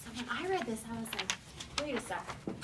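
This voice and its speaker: A woman teacher speaks in a fairly high voice, mixing brisk commands to pupils with expressive reading aloud. She is recorded in a noisy classroom, a little away from the microphone. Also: far from the mic. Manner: directive, clipped commands alternating with expressive reading. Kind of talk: classroom instruction with read-aloud.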